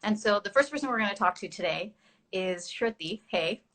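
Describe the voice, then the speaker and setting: A woman's voice: medium pitch, animated, moderate pace, fairly bright tone. Chatty young woman hosting a live chat. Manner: chatty, many fillers, laughs at herself. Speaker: dance teacher and host. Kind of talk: casual chat on an online live stream.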